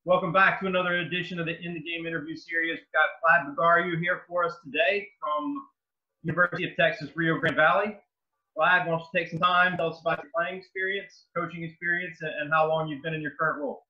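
Host, man, thirties, slightly high voice, dull lo-fi video-call sound, friendly stop-start questions. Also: level tone. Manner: friendly, affirming, halting phrasing. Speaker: interview host. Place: indoor room. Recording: dull lo-fi webcam audio.

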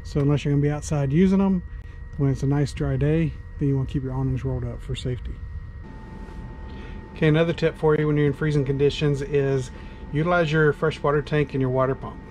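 A man's voice: fairly high pitch, steady tone, fast, a little mellow, slight background noise. A middle-aged man with a Southern US accent giving casual, plain-spoken RV camping tips, recorded on a handheld camera outdoors at a snowy campground and inside an RV. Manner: easygoing, plain-spoken, with frequent uh fillers. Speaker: RV vlogger.

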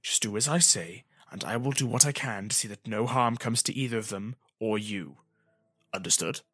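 A stern desert warrior woman, as a male reader plays her in a fantasy audiobook. She is firm and controlled, giving curt warnings with a tense, husky edge. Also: medium pitch, animated, moderate pace, sharp tone, dry recording, heavily acted. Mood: firm, guarded.

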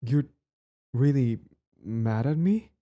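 A man's voice: mid-range voice, expressive, takes it slowly, warm tone, muffled recording. A young man acting a cocky, possessive and smitten bully in an audio roleplay spoken to the listener. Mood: flustered, pleading. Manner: stammering, swaggering, profane.

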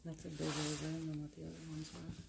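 A woman making quiet, curious asides while exploring abandoned train cars, heard from some distance away from the mic. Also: low voice, monotone, fairly quick, gravelly. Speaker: vlogger's wife. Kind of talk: casual remarks while exploring. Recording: echoey and distant, with noise.

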